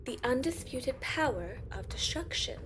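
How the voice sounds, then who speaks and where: A woman's voice: high-pitched, lively intonation, unhurried, background noise. A woman playing a haughty, ancient siren empress in a fantasy audio drama, with a regal, disdainful delivery. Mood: grave. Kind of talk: fantasy audio roleplay acting.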